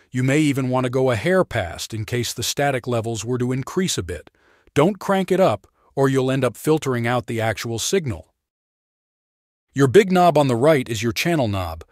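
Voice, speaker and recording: A man's voice: mid-range voice, no echo. Man narrating a tutorial, dry sound. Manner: clear, instructional, plainly explanatory. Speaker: product demonstrator and narrator.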